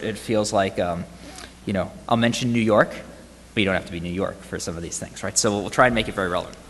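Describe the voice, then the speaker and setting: A man's voice: lowish voice, a bit bright. Man with a faded Boston accent in a hall. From Boston area, US. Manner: conversational, asks the audience questions, says "right?" often. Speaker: conference speaker. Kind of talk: conference presentation. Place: large conference hall.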